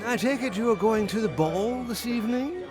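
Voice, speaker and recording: A man playing a suave, courtly aristocratic suitor in a comic period audio play, flowery and flattering. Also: very high-pitched, a little fast. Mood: gallant. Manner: flowery, gallant. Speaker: actor in an audio farce. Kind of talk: period romantic-comedy acting. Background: prominent music.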